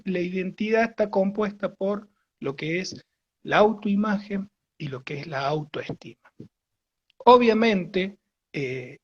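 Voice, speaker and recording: A man's voice: sits high, breathy edge, muffled recording. A man with an Argentine Spanish accent giving a virtual lecture, explaining with many fillers and rephrasings.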